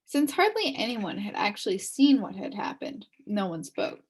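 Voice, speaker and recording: A woman reading a children's chapter book aloud as an expressive story narrator. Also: mid-range voice, moderate pace, breathy edge. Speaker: storybook reader.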